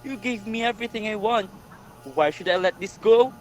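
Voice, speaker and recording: A man's voice: sits high, moderate pace, natural voice. A man playing a reasonable but indignant nobleman in a readers' theatre tragedy, earnest and formal. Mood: reasoning. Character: reasonable, indignant royal brother-in-law, wrongly accused nobleman.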